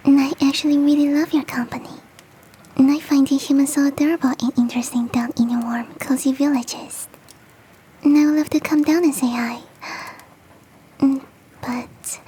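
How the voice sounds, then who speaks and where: A woman voicing a big, sweet, doting female yeti in a soft, breathy, gently coaxing whisper, as ASMR roleplay. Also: sits high, little pitch movement, normal speed, long pauses, a little hiss or hum, lightly acted, poor audio. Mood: curious, affectionate. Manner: gentle, coaxing, affectionate, self-deprecating.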